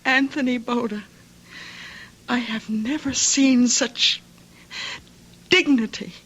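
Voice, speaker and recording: An actress playing an earnest young schoolteacher in an old radio drama, with a hesitant, emotional delivery. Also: female voice, fairly high pitch, fairly even intonation, slow pace, a bit bright, breathy edge, dull audio, heavily acted. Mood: sorrowful resolve. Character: sheltered young schoolteacher, gangster's daughter, earnest and distraught.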